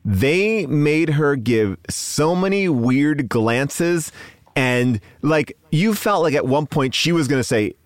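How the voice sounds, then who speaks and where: A man talking about films in a relaxed, chatty way, slipping into quick mock voices. His voice sits a little high, and the recording is dry and close. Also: dry recording. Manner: animated, mimics film characters in quick bursts. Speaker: podcast co-host. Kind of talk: casual movie discussion.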